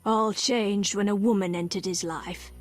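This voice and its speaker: An actress playing a solemn, cryptic female village elder in a video game, speaking in measured, archaic phrases. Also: medium pitch, fairly even intonation, faint music behind, close to an everyday voice. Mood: grave storytelling.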